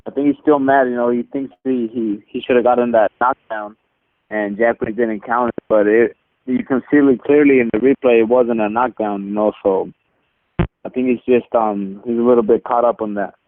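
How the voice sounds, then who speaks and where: Male athlete interviewee on a narrow phone connection; casual, halting, conversational answers. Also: mid-range voice, steady tone, a little hiss or hum. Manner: halting, repeats words, peppers talk with "you know" and "man". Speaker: boxer being interviewed. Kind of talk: casual press call answers. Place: phone line on a teleconference. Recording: narrow muffled telephone conference line.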